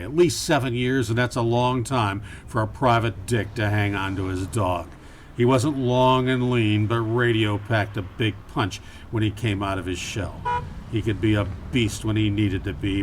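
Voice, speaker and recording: A man playing a wry, world-weary private detective, narrating deadpan in a campy noir radio play. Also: on the low side, normal speed, slight background noise, close to an everyday voice. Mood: wry narration.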